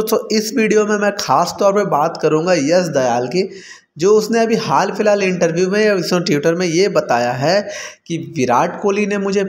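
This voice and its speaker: A man speaks in a fairly high register for a male voice. He delivers informal sports commentary as a voice-over, telling it like a story. He addresses his audience directly, as one would talk to friends. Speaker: YouTube cricket commentator.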